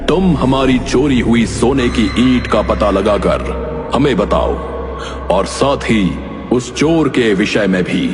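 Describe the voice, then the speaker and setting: A man's voice: medium pitch, expressive, slow, long reverb tail, over music. A formal cartoon king. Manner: formal royal speech. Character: anxious old-fashioned male king.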